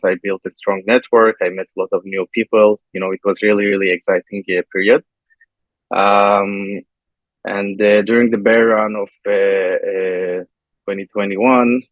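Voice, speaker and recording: Man from turkey, low voice, flat delivery, halting with fillers, tinny phone-like line. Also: no echo. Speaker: interview guest, software engineer. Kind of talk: casual interview answers.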